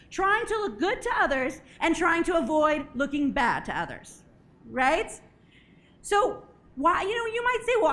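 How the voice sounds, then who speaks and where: A woman with a strikingly high voice speaks from a conference stage, a little hoarse and clearing her throat now and then. She delivers an animated, jokey keynote, checking in with the crowd with quick "right?" asides. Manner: animated, jokey, asks the audience questions. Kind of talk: motivational keynote talk.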